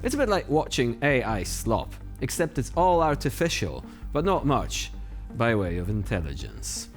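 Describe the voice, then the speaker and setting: A man's voice: medium pitch, sing-song, normal speed, faint music behind. A man hosting a news show, delivering scripted satirical commentary in a sardonic, mocking manner.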